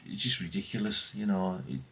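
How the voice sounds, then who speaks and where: Male vlogger, fifties, lowish voice, unscripted and rambling, faint background noise with rustling. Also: lively intonation, brisk. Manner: rambling, chatty, with asides. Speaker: vlogger showing vintage CB radios. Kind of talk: casual, unscripted chat.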